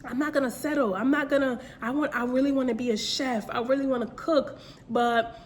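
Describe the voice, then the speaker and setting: A young woman with a somewhat high voice gives a casual faith-based pep talk straight to her listeners. She circles back on her points, repeats phrases and fires rhetorical questions. A faint hiss sits under the recording. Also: steady tone, brisk. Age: young adult. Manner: earnest, repetitive, direct address with rhetorical questions. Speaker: motivational speaker.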